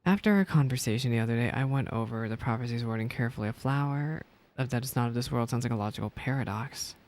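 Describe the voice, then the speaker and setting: A man's voice: mid-range voice, fast talker. A man streaming a game and giving casual, rambling reaction commentary. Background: soft video game music.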